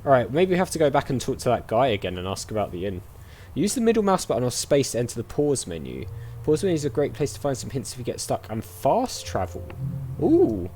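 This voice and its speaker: A man's voice: medium pitch, huge pitch swings, brisk, mellow, a little hiss or hum. A chatty man giving casual gameplay commentary as host, with game ambience behind him. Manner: chatty, reads game dialogue aloud. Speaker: gaming video host. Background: faint game ambience.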